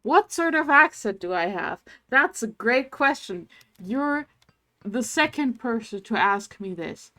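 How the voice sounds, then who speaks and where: A German woman with a mixed English accent, streaming a game and thinking aloud in casual, low-energy chat. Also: expressive, pushed and bright. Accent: mixed English accent, no clear origin. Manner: slow, thinking aloud while playing, low-energy murmurs.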